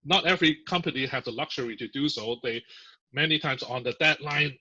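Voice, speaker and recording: Male guest, bright and forceful, chatty with fillers; dull lo-fi sound. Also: medium pitch, a little fast, husky. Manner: chatty, filler-heavy explaining with laughs. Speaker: podcast guest, software engineer. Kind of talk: casual interview. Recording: muffled lo-fi audio.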